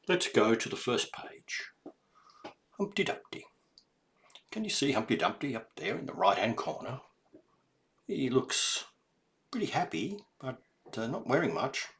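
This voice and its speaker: An older man reading a children's story aloud, deliberate and emphatic, with explanatory asides to young listeners. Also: mid-range voice.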